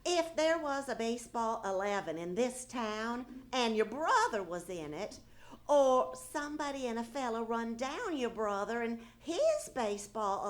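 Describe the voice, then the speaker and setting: A woman's voice: on the high side, fairly bright tone. A woman playing a naive, nagging, good-humoured housewife and mother, questioning skeptically in 1940s-style radio comedy. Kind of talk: 1940s radio comedy acting, live on stage. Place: live theatre stage.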